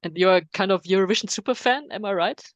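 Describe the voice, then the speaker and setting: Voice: medium pitch, quick pace, dry recording, muffled recording. A podcast interviewer whose first language is German, asking short, plain questions in careful English.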